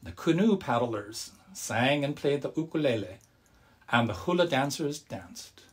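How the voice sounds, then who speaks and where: A man with a husky, mid-pitched voice in the role of storyteller at a family religious service. His delivery is very animated, with wide swings of intonation and simple, friendly phrasing. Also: unhurried. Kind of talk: children's storytelling and friendly address to congregation.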